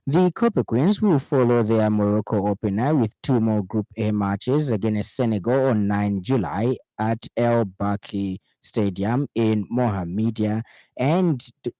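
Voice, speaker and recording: A Zambian man presents the radio news from a script. His voice is low and mellow, and he keeps a formal, measured delivery. The studio sound is close and dry. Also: lively intonation, no echo, rough recording. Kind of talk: scripted news bulletin reading.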